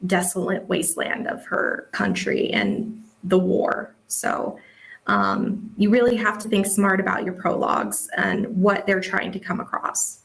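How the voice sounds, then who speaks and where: A woman's voice: mid-range voice, flat delivery, measured pace, creaky, fairly bright tone, rough recording. A woman working as an editor gives informal advice in a question-and-answer session, often dropping in "like" and "you know". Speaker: webcomic editor giving advice. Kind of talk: casual explanatory Q&A talk.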